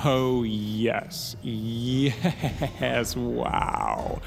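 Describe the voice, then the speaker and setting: A man's voice: medium pitch, huge pitch swings, very slow, gravelly, heavily acted. An actor playing a flamboyant, cheeky wig-shop clerk in an audio drama, effusive and slangy, drawing out his words. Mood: gushing, cheeky. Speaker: shop assistant. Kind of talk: audio drama dialogue, casual chat. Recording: music playing behind.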